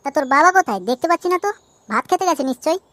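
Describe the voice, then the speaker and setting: Woman voicing a fast, brash cartoon trickster. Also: sits high, lively intonation, fairly bright tone, no room sound. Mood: sly. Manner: cheeky, argumentative, coarse. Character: brash cartoon trickster and naive shopkeeper's child. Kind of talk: Bengali comedy cartoon voice acting.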